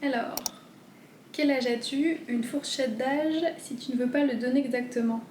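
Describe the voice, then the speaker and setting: A woman in her thirties chatting casually at home as she answers viewer questions, gentle and hesitant. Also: sits high, moderate pace, echoey room, a little hiss or hum. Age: 30s. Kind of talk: casual FAQ chat.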